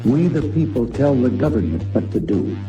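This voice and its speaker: A man speaks in a measured, declarative way, as in a political speech. His voice sits at a middle pitch and sounds set back from the microphone. Clear guitar music plays beneath him. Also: very animated, muffled recording. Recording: distant speech clip under music.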